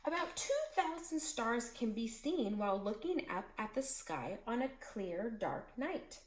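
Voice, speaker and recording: A woman reads a children's book aloud to her class. Her delivery is slow and deliberate, and her pitch swings widely as she tells the story. The recording picks up a noticeably echoey room. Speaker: teacher reading aloud.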